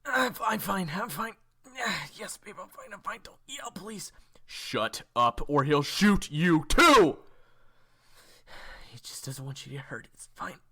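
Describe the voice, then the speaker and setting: Audio drama role: injured, defiant young man voiced by a male actor, husky and tense, very animated. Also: high-pitched, unhurried, exaggerated voice. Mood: pained, urgent. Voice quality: husky, strained. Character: wounded, foul-mouthed young man, defiant captive. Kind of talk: audio roleplay acting.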